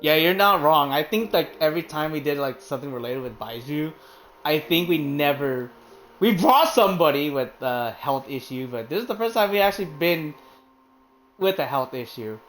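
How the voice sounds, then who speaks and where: A man's voice: fairly high pitch, expressive, normal speed, fairly bright tone, a little hiss or hum, rough recording. A man streaming a game and chatting casually, rambling with lots of fillers and the odd curse word, in a hot room with a fan. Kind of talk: casual commentary while playing a game.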